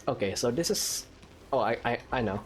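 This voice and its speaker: Animated, chatty gamer commentating. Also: mid-range voice, normal speed, slight background noise. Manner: chatty, reacting aloud to the game. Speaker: gamer, let's player. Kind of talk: casual gameplay commentary. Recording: clear game music behind voice.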